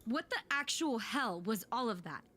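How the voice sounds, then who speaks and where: A woman's voice: on the high side, sing-song, normal speed, sharp tone, slight background noise. A woman playing a feisty, impatient young female warlock in an audio drama, sarcastic and emphatic. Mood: exasperated, scornful.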